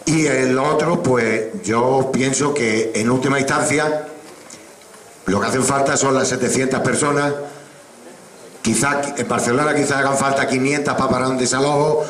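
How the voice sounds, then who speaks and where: A man speaking at a public assembly, deliberate and repeating words as he builds his points. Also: medium pitch, fairly even intonation, fairly bright tone, roomy sound, slight background noise, rough recording.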